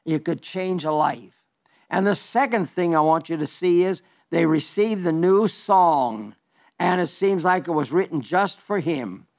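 Elderly preacher reading listener letters. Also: male voice, a little high, animated, unhurried. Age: older. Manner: chatty and warm-hearted, with small stumbles and asides. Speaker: radio preacher. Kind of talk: folksy radio sermon reading listener letters.

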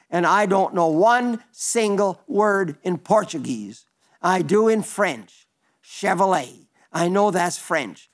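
An elderly male radio preacher with a slightly high voice, reading letters from listeners in a homespun sermon. He talks in a chatty, easygoing way with little stumbles and asides. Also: vocal fry. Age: older. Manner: chatty and warm-hearted, with small stumbles and asides.

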